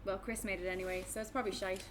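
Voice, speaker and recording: A woman acting a friendly young Irish barmaid, casual and a little forward. She talks conversationally at a medium pitch, as in a drama read-through. There is faint noise in the recording. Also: flat delivery, racing, lightly acted.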